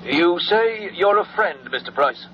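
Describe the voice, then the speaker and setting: A guarded police inspector heard by telephone in a radio drama. His fairly high voice is formal and probing. The sound is muffled and noisy. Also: animated, a little slow. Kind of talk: radio horror drama acting.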